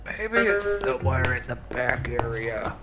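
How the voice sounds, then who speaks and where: A man playing a grumpy, blustering armed robber in an audio drama, gravelly and irritable. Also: medium pitch, big pitch swings, a little slow, over loud music. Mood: irritated muttering. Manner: muttering, irritable.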